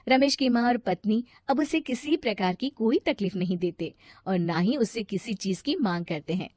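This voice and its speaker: A woman's voice: mid-range voice, expressive, normal speed, darkish tone, no room sound, clean studio sound. A woman reading scripted story narration as the storyteller.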